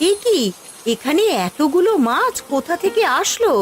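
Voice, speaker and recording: High, lively village mother. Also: moderate pace. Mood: surprised. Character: surprised village mother in cartoon fairy tale. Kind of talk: animated Bengali fairy-tale cartoon dialogue.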